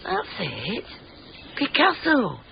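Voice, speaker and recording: An actress playing a curious, plain-spoken working-class Yorkshire wife, chatty and down-to-earth, in a naturalistic radio drama. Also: female voice, high voice, wildly swooping pitch, slow, creaky, heavily acted. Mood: curious, wistful. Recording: dull radio recording with some background noise.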